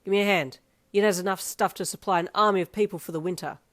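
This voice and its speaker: A woman voicing a gruff but loving middle-aged father in an audio story. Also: low-pitched, steady tone, fairly quick, a little mellow, dry recording, close to an everyday voice. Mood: calling. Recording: faint music behind.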